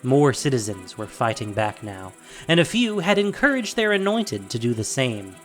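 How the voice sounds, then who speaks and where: A man's voice: medium pitch, very animated, moderate pace, fairly bright tone, faint music behind. A man narrating a scripted fantasy audio drama in a dramatic storytelling style.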